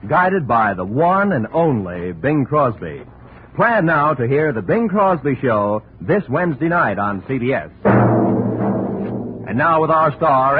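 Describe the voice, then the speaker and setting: Male 1950s radio announcer for promos. Polished, emphatic, sweeping pitch, tinny noisy recording. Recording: noisy, narrow-band vintage radio recording.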